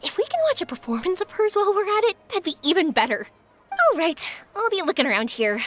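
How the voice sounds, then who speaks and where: A young traveling girl speaks in a high, very lively voice. She is polite, curious and considerate, in the style of anime game dialogue. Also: fast talker, heavily acted. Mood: bright, friendly. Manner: polite, earnest. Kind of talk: video game character acting.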